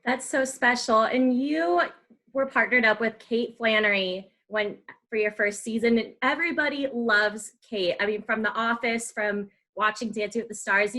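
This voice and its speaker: A woman's voice: on the high side, bright, forceful tone. A woman hosting a podcast, asking questions in a casual, enthusiastic and affirming way. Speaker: podcast host, interviewer. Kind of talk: casual interview chat.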